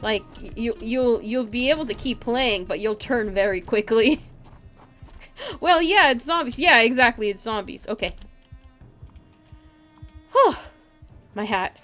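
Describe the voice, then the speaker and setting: A woman giving excitable live gameplay commentary, talking to herself and to her viewers. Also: fairly high pitch, a little slow, pauses often, soft background music. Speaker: game streamer. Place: indoors, streaming at a computer.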